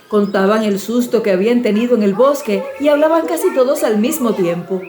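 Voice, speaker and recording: Mellow woman narrating a fairy tale. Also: mid-range voice. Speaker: audiobook storyteller.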